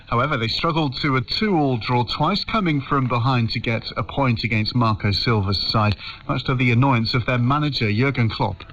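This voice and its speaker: A man of medium pitch runs through a sports round-up as presenter. His voice comes over a lo-fi shortwave radio signal. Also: a bit bright. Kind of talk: scripted sports round-up, addressing the anchor. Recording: dull shortwave radio broadcast.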